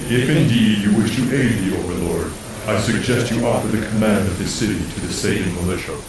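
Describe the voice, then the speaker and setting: A solemn spirit guide, voiced by a man for a video game. His low voice commands with grave formality. It echoes heavily and sounds distant, with clear noise around it. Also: brisk, natural voice. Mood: solemn, instructive. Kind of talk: video game cutscene acting.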